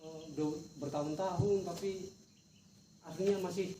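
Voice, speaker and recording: North sumatran guy chatting fast, bit hesitant, distant roomy recording w crickets. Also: high-pitched, steady tone. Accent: North Sumatran Indonesian. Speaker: teacher being coached. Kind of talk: informal coaching conversation. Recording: distant echoey noisy recording, insect chirps.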